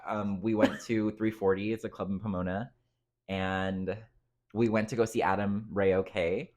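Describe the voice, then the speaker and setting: A man's voice: fairly low pitch, flat delivery, measured pace, a little mellow. A man co-hosting a casual podcast, chatty and playful as he recaps a night out. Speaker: podcast co-host. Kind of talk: casual chat.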